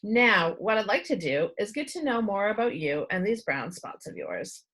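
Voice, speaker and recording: A woman voicing a small, round old male doctor who is excitable, blustery and absent-minded in a children's storybook. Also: medium pitch, moderate pace, husky, heavily acted. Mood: befuddled.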